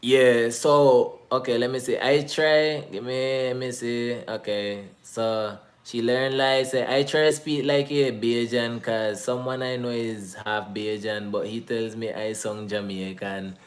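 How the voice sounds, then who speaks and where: A man's voice: medium pitch, a little slow, hardly any pauses. A young man with a Nicaraguan Creole English accent, chatting casually as host and slipping into patois. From United States, Bay Area, California. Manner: loose and animated, drifting into Creole patois. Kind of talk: casual chat reacting to comments.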